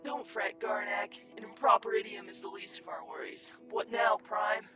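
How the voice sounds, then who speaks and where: Casting: loyal robot crew soldier, female actor, high voice, urgent and clipped, music behind. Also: steady tone, normal speed, sharp tone, distant mic. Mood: tense, wry. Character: loyal, alert robot soldier under pressure. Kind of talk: voice acting in a cartoon fan dub.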